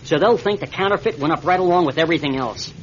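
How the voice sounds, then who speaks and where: An actor playing a practical, wry stranded pilot in a radio drama, with a folksy, coaxing and naturalistic manner. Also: male voice, fairly high pitch, quick pace, lightly acted. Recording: dull, noisy radio drama recording.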